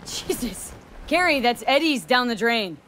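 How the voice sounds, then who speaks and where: A woman's voice: fairly high pitch, lively intonation, unhurried, a bit bright, a little hiss or hum. An actress playing a wry, tough young female mercenary in a video game, with a dry, blunt, skeptical manner. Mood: incredulous, game.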